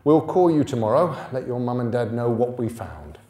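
A big, bullying policeman acted out by a man reading a novel speaks with gruff, mocking officialdom. It is a storybook figure, heard roomy and slightly off the mic. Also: mid-range voice, sing-song, normal speed, mellow, close to an everyday voice. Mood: brusque. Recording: roomy stage sound, distant mic.

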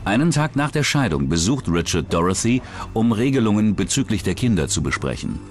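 Deep-voiced, animated male documentary narrator. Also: brisk, soft background music. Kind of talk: scripted true-crime narration.

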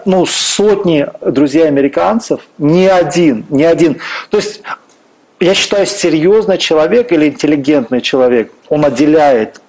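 A man's voice: on the high side, darkish tone, husky, rough recording. A middle-aged man from Russia, a guest minister speaking earnestly and preacherly about faith on a church stage. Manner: fervent, preacherly, quotes scripture. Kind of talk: earnest faith-based interview talk.

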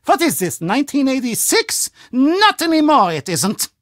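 Bossy high-strung lady in a video game, really high voice, curt, dry close sound. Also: sing-song, normal speed, no echo. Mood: dismissive.

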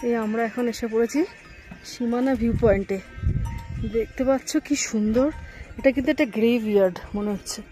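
A woman's voice: a little high, fairly quick, over loud music, rough recording. A middle-aged woman chatting casually as she films a travel vlog, pointing out the sights with delight, on a handheld phone outdoors and from a car. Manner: admiring, pointing out sights to the viewer. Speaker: travel vlogger. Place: outdoors on hill roads and in a moving car. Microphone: phone camera held by the speaker. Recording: loud outdoor and car noise.

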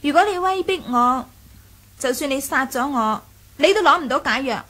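A woman playing a sly, self-assured young noblewoman in a radio drama, playful and deliberate, with a Hong Kong Cantonese accent. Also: high-pitched, lively intonation, sharp tone. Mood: teasing, bargaining.

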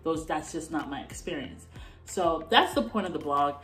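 A young woman born in Canada and raised in the US, talking casually to the camera from her bedroom, animated and gesturing as she explains. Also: deep voice, moderate pace, faint music behind, poor audio. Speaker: vlogger. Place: bedroom at home, indoors.

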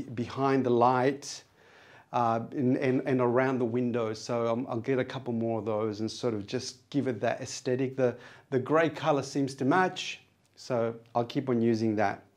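A man's voice: medium pitch, a little mellow. Middle-aged man with a nasal voice. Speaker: vlogger. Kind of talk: casual vlog, gear walkthrough. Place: small acoustically treated home studio, indoors. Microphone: wireless clip-on mic on chest.

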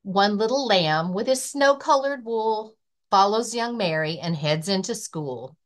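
Expressive woman reading slowly to children. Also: mid-range voice. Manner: expressive, rhythmic reading of rhyming verse. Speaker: librarian and story-time reader. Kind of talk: children's picture-book read-aloud.

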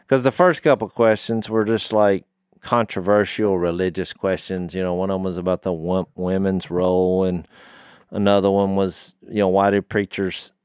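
A man's voice: fairly low pitch, darkish tone, no room sound. A middle-aged man co-hosting a Bible discussion podcast, telling stories emphatically in a conversational way, close on a studio mic. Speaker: podcast co-host teaching scripture. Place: small wood-panelled podcast studio. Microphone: studio microphone on boom, close.

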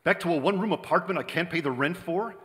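A man's voice: fairly high pitch, fast talker, a bit bright, lightly acted. A middle-aged man playing a cynical, bitter screenwriter in hard-edged old-time radio drama style. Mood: bitter sarcasm. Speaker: stage radio actor. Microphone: vintage-style stand microphone. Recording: hall reverb, live stage performance.

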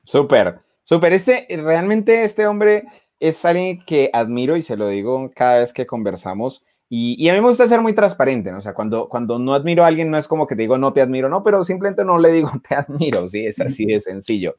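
A young man hosting a live video call interview, enthusiastic and greeting his viewers, talking through earphones from a room with bookshelves. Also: normal speed. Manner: enthusiastic, warm greetings to viewers, lively pitch swings. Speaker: host and mentor. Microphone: earphone headset on video call.

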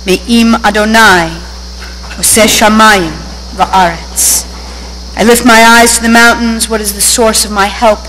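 Older woman officiant reading psalms, solemn and steady, long echo in the sanctuary, faint hum. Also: fairly high pitch, unhurried. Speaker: service officiant. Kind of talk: liturgical reading. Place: synagogue sanctuary. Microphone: lectern microphone. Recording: reverberant hall with faint hum.

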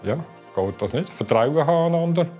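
A man's voice: on the high side, expressive, a little fast, warm tone, faint music behind. A man in his fifties with a Swiss German accent, chatting eagerly in a home interview with easy fillers. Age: 50s. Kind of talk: casual interview chat. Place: living room indoors.

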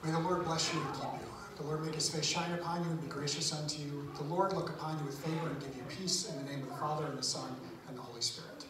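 A young man, the pastor, briskly leading worship and giving the benediction in a church sanctuary. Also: a little high, a crowd in the background. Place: large echoey church sanctuary. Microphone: far camera at the back. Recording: echoey church from far off.

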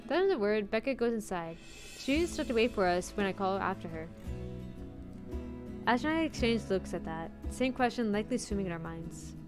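A woman with a mid-pitched voice reads story narration aloud as she streams a visual novel. She is close to the mic, and soft game music plays underneath. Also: expressive, moderate pace.

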